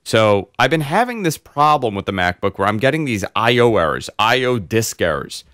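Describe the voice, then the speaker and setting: A man hosting a casual tech podcast, hoarse and congested, telling long rambling stories full of fillers. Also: medium pitch, normal speed, no room sound, studio quality. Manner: rambling, animated storyteller with many fillers. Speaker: host.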